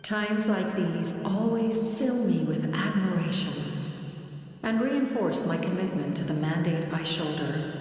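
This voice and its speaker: An actress voicing a solemn, weary ancient female spirit in a video game, speaking formally and gravely with resignation. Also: on the low side, a little mellow. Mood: solemn, admiring. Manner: formal, measured, grave speech. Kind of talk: video game story dialogue. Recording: cavernous echo with long reverb, faint music.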